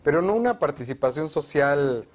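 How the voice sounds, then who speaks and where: A man, a guest advocate for education, explaining proposals in a measured way on a televised discussion. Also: fairly high pitch, lively intonation.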